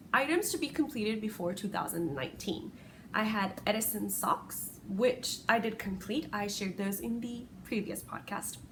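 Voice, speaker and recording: Woman podcast host from Puerto Rico, native Spanish speaker, casual and self-correcting, middle pitch, faint background noise. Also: gravelly. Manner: chatty, rambling, self-correcting with small laughs. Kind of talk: casual solo podcast chat.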